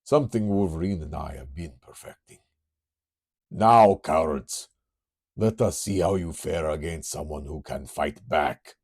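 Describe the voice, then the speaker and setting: A man's voice: very deep voice, unhurried, darkish tone, breathy edge, exaggerated voice. A man voicing a grave, commanding male hero with a put-on German-Russian accent in a comic book reading. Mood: fierce. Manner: solemn, formal, stately.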